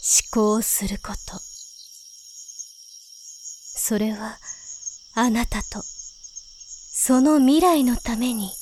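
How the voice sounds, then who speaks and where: A woman playing an enigmatic elder sister in a Japanese audio drama, speaking softly and deliberately with gentle condescension and a quiet menace. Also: a little high, a little hiss or hum. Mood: solemn, knowing. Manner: slow, deliberate, soft feminine phrasing. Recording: nighttime cricket and insect sounds behind. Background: crickets, insect ambience.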